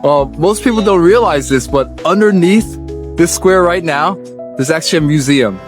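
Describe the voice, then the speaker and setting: A man's voice: fairly high pitch, moderate pace, music in the background. A middle-aged man with an American accent, born in Korea and raised in America, hosting a walking vlog and speaking in a reflective, personal, conversational way. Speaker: vlog host. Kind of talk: walking travel vlog narration. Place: outdoors on city streets.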